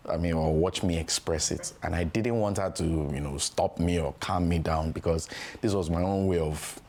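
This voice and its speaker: A man's voice: low voice, fairly even intonation, moderate pace, darkish tone, slight background noise. A man, a guest father in a candid podcast conversation, speaking conversationally and often adding "you know".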